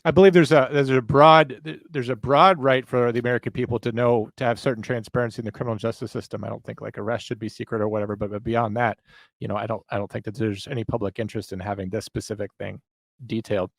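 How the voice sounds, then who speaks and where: A man's voice: mid-range voice, fairly even intonation, quick pace, vocal fry, no room sound. A man co-hosting a conversational discussion of legal and police matters, analytical and full of questions. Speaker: podcast co-host.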